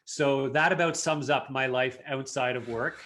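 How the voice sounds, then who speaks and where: Canadian guy in his 40s, friendly talkative podcast guest, lots of uhs, average pitch. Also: normal speed, fairly bright tone. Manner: friendly, talkative, frequent fillers. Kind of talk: casual interview chat.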